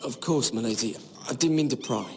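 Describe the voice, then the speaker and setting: An actor playing a humble young servant lad in a fantasy game, with a hesitant, apologetic, deferential way of speaking. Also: male voice, medium pitch, fairly quick, long reverb tail, natural voice. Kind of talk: dramatic video game acting. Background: music.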